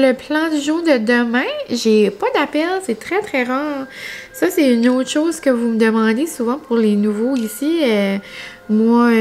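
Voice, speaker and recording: Higher-pitched young Acadian woman vlogger. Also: a little mellow, slight background noise. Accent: Acadian French from northern New Brunswick. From New Brunswick, Canada. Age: young adult. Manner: rambling, colloquial regional speech with filler words. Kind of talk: casual chat to viewers.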